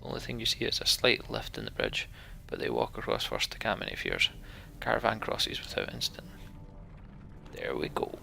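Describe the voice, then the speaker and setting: A man narrating and voicing a story game, with lively, dry, offhand asides. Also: fairly low pitch, normal speed, vocal fry, slight background noise. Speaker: let's play narrator. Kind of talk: voiced game reading and commentary.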